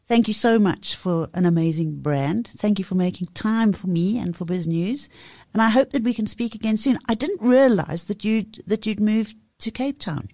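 A woman's voice: medium pitch, lively intonation, normal speed, dark tone, no room sound, studio quality. A woman hosting a radio interview, effusive and teasing in casual chat. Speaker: radio show host.

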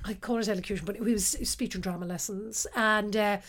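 A middle-aged Irish woman from the west of Ireland, an actress telling stories from her life in a relaxed interview, self-deprecating and quick to laugh. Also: medium pitch. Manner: warm, self-deprecating storyteller, laughs often. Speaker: actress guest.

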